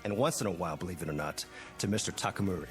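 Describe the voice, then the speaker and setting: A man's voice: sits low, rapid-fire, soft background music, close to an everyday voice. An actor playing a proud, defensive working man, earnest and curt, in a vintage TV drama. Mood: indignant. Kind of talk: 1960s television drama acting. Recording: reverberant vintage TV soundtrack.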